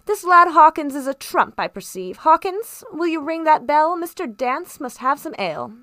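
A woman's voice: high-pitched, sing-song, moderate pace, bright, forceful tone, no room sound, clean studio sound, pitched above the performer's own voice, heavily acted. A woman voicing a hot-headed, pompous English country squire in a storybook, exclaiming and repeating himself emphatically. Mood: hearty approval.

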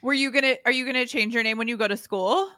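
A woman co-hosting an interview, upbeat and quick to laugh. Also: on the high side, pushed and bright. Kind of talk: casual interview chat.

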